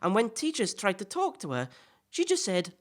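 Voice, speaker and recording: A chatty celebrity podcast host in an audio play, a man played by a woman, with a very animated swing in pitch. The delivery stumbles politely, then turns cheeky and blunt. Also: moderate pace. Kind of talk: audio play dialogue in interview form.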